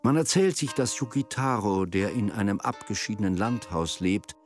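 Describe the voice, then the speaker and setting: A man narrating a radio drama in a measured, solemn, literary storytelling manner. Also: lowish voice, lively intonation. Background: music.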